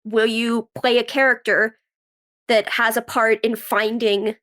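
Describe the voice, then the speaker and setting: Higher-voiced young woman, chatty interview guest. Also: flat delivery, a little slow, a bit bright. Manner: conversational, frequent "like", quotes own thoughts. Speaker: podcast guest, actress and writer. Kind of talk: casual interview answers, storytelling.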